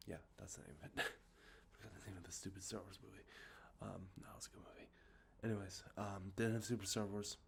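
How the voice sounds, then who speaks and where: Deep-voiced congested man rambling softly. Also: level tone, brisk, creaky, hardly any pauses, slight background noise. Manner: rambling, many ums and uhs, self-correcting. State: congested from allergies, sniffly. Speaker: ASMR channel host. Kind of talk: soft-spoken show-and-tell about collected video games. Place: outdoors with traffic nearby.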